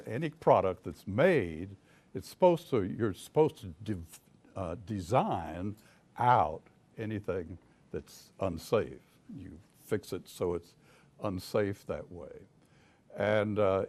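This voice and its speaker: Deep-voiced older Southern US man. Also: a little mellow. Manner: folksy storyteller, chuckles often, many restarts. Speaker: private investigator. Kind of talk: casual talk to an audience.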